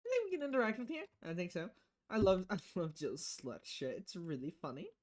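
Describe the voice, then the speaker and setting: A woman's voice: fairly low pitch, wildly swooping pitch, a little fast, a little mellow. A woman streaming a visual novel, reading the dialogue aloud with casual, playful commentary and switching voices between lines.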